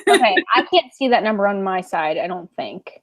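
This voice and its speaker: A woman's voice: medium pitch, normal speed. Chatty woman hosting a book livestream. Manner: relaxed, chatty, uses lots of "like". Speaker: book club livestream co-host. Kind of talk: casual chat.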